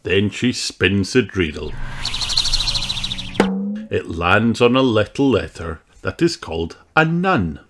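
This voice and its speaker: A storyteller reading a children's picture book aloud in an expressive, animated way. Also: low-pitched, slow, pauses often, heavy background noise. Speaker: audiobook reader.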